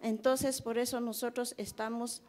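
A middle-aged woman with a Guatemalan accent giving halting, repetitive formal testimony at a table microphone. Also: fairly high pitch, flat delivery, darkish tone. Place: conference hall hearing room. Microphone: gooseneck table microphone.

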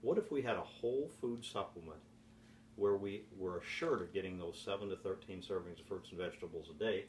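Man, 60s, deliberate home-video pitchman, on the low side, recorded at a distance with some noise. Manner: deliberate, with repeated rhetorical questions. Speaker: presenter pitching home health products. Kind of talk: informal sales presentation to camera. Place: home office, indoors. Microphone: camera mic from across the room. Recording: echoey, distant camera mic with background hiss.

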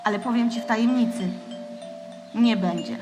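A woman acting a frank, gentle captive alien in a theatrical audio drama, speaking conversationally. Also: on the high side, normal speed, close to an everyday voice. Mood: gently teasing. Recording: long reverb, loud music underneath.

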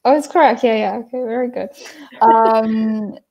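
A young woman on a laptop video call at home, talking with a teacher's patience, slightly higher than most women. She explains slowly and laughs often. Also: a little mellow, dull audio. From United States. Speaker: English teacher. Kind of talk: casual livestream lesson chat. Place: small room at home, indoors. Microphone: laptop mic on a video call.

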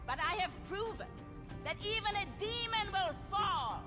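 A woman's voice: extremely high pitch, expressive, a little slow, music in the background. An actress playing an older female general, a self-righteous villain who speaks in a grandiose, menacing, preachy way. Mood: smug.

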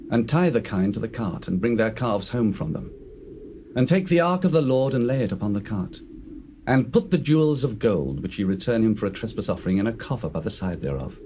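An actor playing an authoritative pagan priest, giving grave, oratorical counsel in a dramatized scripture. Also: male voice, medium pitch, a little mellow, close to an everyday voice. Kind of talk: dramatized scripture, stage acting. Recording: faintly noisy old cassette.

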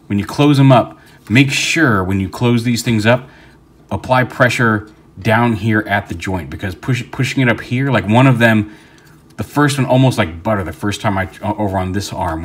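Deep-voiced man reviewing a toy. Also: slightly rough. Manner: relaxed, rambling, many fillers and restarts. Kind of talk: casual hands-on toy review. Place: indoor tabletop setup.

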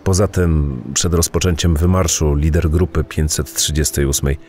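A man playing a formal, measured spokesman for an organization in a dramatized true-crime podcast. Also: extremely low pitch, fairly even intonation, a little mellow, slight background noise.

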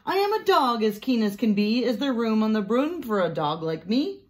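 A woman's voice: on the high side, very animated, moderate pace, voice pushed up. Woman reading as an eager, polite storybook animal. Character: keen, polite animal asking to join, storybook.

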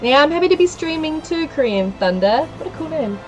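A woman's voice: high voice, animated, a little fast, darkish tone, rough recording. A woman in her thirties with a New Zealand accent, talking casually into a headset mic in a small room. She is chatty and giggly and reels off place names from her viewers, with faint game music underneath. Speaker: livestreamer. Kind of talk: casual game-stream chat, reading out viewer comments.

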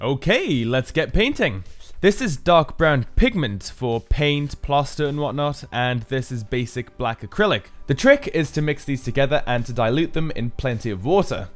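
A man's voice: mid-range voice, lively intonation, normal speed, faint music behind. A man giving an upbeat, explanatory voice-over for a build tutorial, as the maker himself. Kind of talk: scripted tutorial narration.

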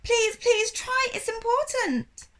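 Woman as an eager, very high-pitched girl. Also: takes it slowly, a bit bright, heavily acted. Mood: urgent, pleading. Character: eager, anxious young girl, pleading and insistent.

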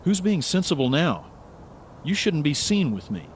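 An actor playing a naive, stubborn, wry amateur sleuth in a radio drama. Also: male voice, on the high side, sing-song, normal speed, slight background noise. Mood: uneasy.

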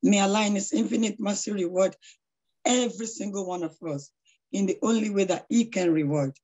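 Lady w/ a hoarse voice on a webcam call, lots of prayers n praise. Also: mid-range voice, brisk, mellow. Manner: devout, effusive, praying often. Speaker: charity founder introducing a speaker. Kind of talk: online lecture introduction. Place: small room at home. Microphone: laptop webcam on a video call.

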